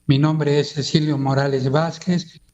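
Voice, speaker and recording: Man from Veracruz, Totonac first language, formal guest, slow, muffled phone line. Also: fairly high pitch, flat delivery, darkish tone. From Coxquihui, Veracruz, Mexico. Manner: slow, formal, lists titles and greetings. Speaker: guest, regional head of a language academy. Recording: dull phone-line audio.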